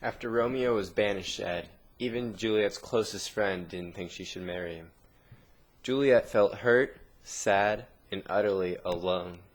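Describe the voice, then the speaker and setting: A man reading a scripted story narration with dramatic pauses, in a noisy amateur recording. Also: fairly low pitch.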